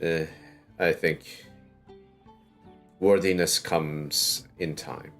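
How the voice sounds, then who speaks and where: A man's voice: deep voice, fairly even intonation, drawn-out words, a bit bright. A man commenting casually on a game livestream, with hesitant, muttered reactions. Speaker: game streamer. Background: game music.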